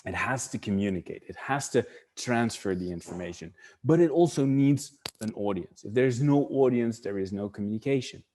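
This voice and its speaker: A man's voice: mid-range voice, animated, normal speed, mellow. A middle-aged man from the Netherlands with a Dutch accent, presenting an online webinar and thinking aloud, often restarting and repeating words.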